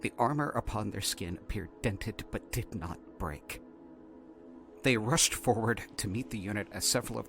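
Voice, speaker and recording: A man playing a shaken, exasperated researcher, haltingly dictating an incident report in an audio drama. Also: fairly low pitch, a bit bright, full character voice. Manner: halting, flustered, repeating words. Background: faint music.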